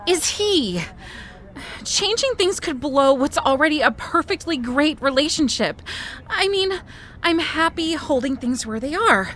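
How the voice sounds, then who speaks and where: Very high-pitched, melodramatic distraught young woman. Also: fairly bright tone, exaggerated voice. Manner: whiny, dramatic, halting. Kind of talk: audio drama acting.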